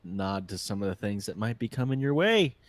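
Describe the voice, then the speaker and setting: A man's voice: fairly low pitch, very animated, brisk. A man co-hosting a casual podcast, a little congested, chatting and joking easily. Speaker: podcast co-host. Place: hot room with a fan.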